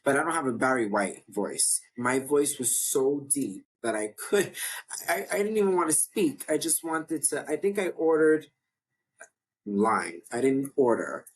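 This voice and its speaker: A man from New York with a deep voice, hosting his own online show in a casual, rambling talk to camera that often restarts mid-sentence. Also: lively intonation, a little slow, breathy edge. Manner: chatty, rambling, self-interrupting. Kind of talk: casual talking-to-camera update.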